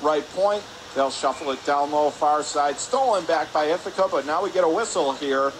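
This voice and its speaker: A man giving live radio-style hockey play-by-play in clipped phrases, getting excitable on big plays. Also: on the high side, normal speed. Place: indoor ice hockey arena. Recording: clear rink noise behind. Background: arena ambience and noise.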